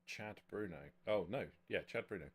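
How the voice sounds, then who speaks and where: A man running a tabletop game as game master, improvising narration in a halting way with repeated words. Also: lowish voice, slightly rough. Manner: halting, frequent stammered word repeats.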